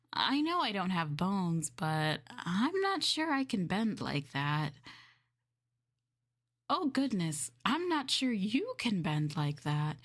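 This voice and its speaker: A woman voicing a sweet, jealous, easily flustered nonhuman girlfriend in a roleplay audio drama, coy and teasing, with hesitant, expressive delivery. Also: mid-range voice. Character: sweet, jealous, flustered boneless creature girlfriend inhabiting her partner.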